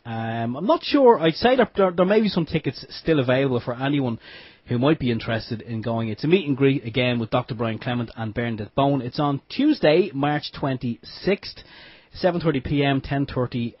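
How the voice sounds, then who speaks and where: Irish guy cohosting radio, husky voice, kinda lo-fi. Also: medium pitch, animated, fairly quick, no room sound. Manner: easygoing, joking, chuckling. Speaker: radio co-host. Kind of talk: casual radio chat. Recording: dull lo-fi home radio stream.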